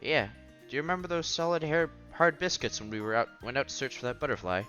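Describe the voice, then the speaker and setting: Bright-voiced, animated man reading aloud. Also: mid-range voice, fast talker. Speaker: streamer reading a visual novel aloud. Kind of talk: casual read-aloud with offhand commentary. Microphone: headset. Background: soft music.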